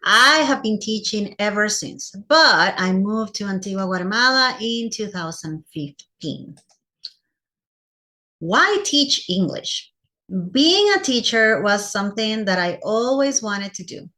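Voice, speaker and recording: A woman's voice: mid-range voice, animated, measured pace, bright, forceful tone. A woman from Costa Rica with a Costa Rican Spanish accent in English, speaking as a teacher giving a personal presentation to fellow teachers.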